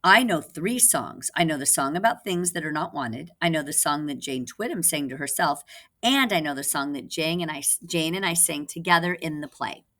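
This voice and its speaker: A woman's voice: deep voice, expressive, normal speed, fairly bright tone. A woman voicing a young girl puppet in a storybook, eager and earnest. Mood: eager, proud.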